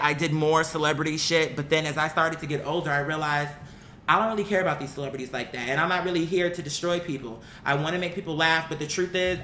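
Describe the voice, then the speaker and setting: An online commentary host giving a blunt, opinionated, casual rant to viewers, swearing freely and repeating words for emphasis. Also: pushed and bright. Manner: blunt, profane, emphatic repetition. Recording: roomy echo, faint rumbling noise.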